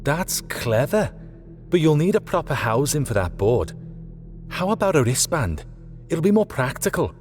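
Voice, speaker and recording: A man voicing a sharp, practical woman engineer in an audiobook, brisk and confident, firm yet friendly. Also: fairly high pitch, sing-song, long reverb tail, soft background music, pitched above the performer's own voice.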